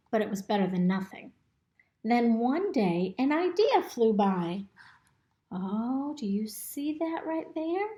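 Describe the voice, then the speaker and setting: A woman reading a picture book aloud to young children in an expressive, instructive way, pausing to ask them simple questions. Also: on the high side. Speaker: storybook reader. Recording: roomy, echoey indoor recording.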